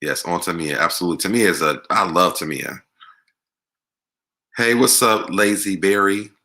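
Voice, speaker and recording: An American man with a deep voice hosting a casual music Q&A, talking conversationally and repeating words for emphasis. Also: moderate pace, sharp tone. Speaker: online show host. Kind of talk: casual live Q&A chat about music.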